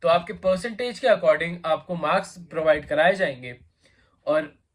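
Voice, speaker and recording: A man's voice: a little high, moderate pace. A man hosting a job vacancy video, explaining in casual Hindi mixed with English, with a sore throat and an occasional cough. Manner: chatty, repetitive fillers, conversational address to viewers. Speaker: job vacancy channel host.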